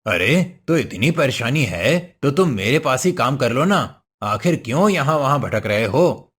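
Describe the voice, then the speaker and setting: A man's voice: medium pitch, big pitch swings, measured pace, a bit bright, slightly rough, heavily acted. A man voicing a sly devil in a cartoon story, coaxing and falsely friendly. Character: sly hoofed male devil posing as generous employer. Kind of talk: Hindi cartoon story voice acting.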